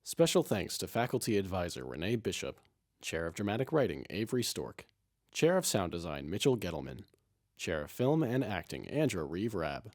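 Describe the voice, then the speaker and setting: A man's voice: sits low, a little mellow. A man reading scripted end credits as a series announcer.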